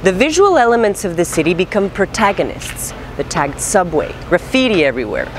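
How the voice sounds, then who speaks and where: A woman's voice: fairly low pitch, very animated, a little fast, background noise. A woman in her thirties presenting scripted news items as a show host, in casual, slangy language. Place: city street, outdoors. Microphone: lapel mic. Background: music.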